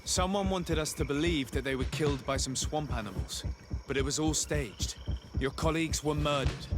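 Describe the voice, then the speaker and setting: Man acting a composed, higher-voiced envoy. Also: normal speed, background noise, natural voice. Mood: grave, solemn. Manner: formal, composed, grave. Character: measured, principled male envoy, diplomatic investigator. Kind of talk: dramatic video game voice acting.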